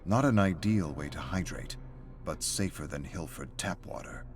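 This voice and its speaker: A man reading a scripted dark story as a narrator. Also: very deep voice, expressive, normal speed. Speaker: reader of story entries. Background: music.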